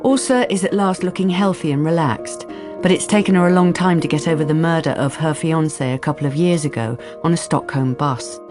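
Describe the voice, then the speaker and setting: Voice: medium pitch, brisk, lightly acted. A narrator telling a crime story in a scripted, composed radio drama style. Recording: music under the narration.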